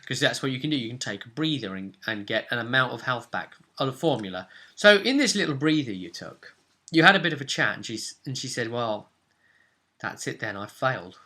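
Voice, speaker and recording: A man's voice: medium pitch, lively intonation, a little fast, bright, forceful tone. A man speaking as game master, recapping a tabletop role-play session in a conversational, explanatory way and slipping into characters' lines.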